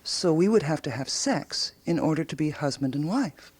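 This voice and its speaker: A woman's voice: sits low, lively intonation, moderate pace, a little mellow, breathy edge. An actress playing a self-assured, inquisitive intellectual woman in a radio drama, musing and probing as she questions.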